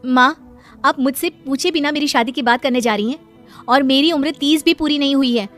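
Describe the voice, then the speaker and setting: A woman's voice: sits high, moderate pace, a bit bright, soft background music. A woman voicing a defiant but polite unmarried schoolteacher daughter of nearly thirty in a moral-story cartoon. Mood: indignant. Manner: indignant, protesting.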